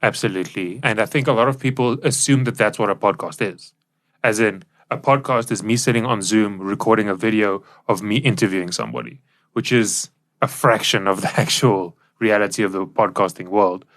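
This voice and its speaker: South african guy with a lowish voice, chatty podcast co-host explaining stuff, studio mic. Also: fairly quick, fairly bright tone. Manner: explanatory, informal. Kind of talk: casual co-hosted podcast discussion. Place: professional recording studio. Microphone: studio microphone.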